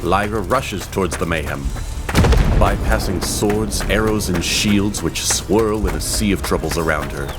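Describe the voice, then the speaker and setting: A man narrating a fantasy audio drama in a measured, descriptive storytelling manner. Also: deep voice. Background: music.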